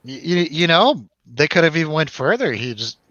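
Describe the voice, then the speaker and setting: A man with a bright, forward voice talks casually about films among friends. He is informal and prone to exclaiming and restarting. The sound is dry and a little dull. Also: a little high, sing-song, normal speed, dry recording. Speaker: film review show host. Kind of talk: casual film discussion with friends.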